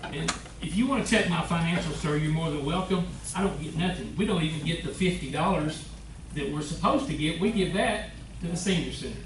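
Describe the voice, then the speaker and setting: An older man on a city council, speaking loudly and earnestly in defense of himself at a meeting. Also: on the high side, brisk. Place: council chamber. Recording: echoey room, far mic, noisy.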